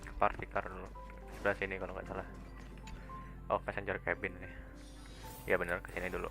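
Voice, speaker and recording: Guy streamer muttering thru a story game, gravelly low voice, faint game music behind. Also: monotone, quick pace. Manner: rambling, hesitant, trailing off with soft hums. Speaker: streamer playing a video game. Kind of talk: casual let's-play commentary.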